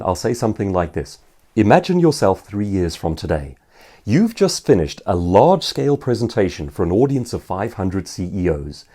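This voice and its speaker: A man who works as an author and professional speaker, giving an informal seminar in an articulate, emphatic storytelling manner with dramatic pauses. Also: on the low side, warm tone. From South Africa. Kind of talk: informal seminar answering viewers' questions.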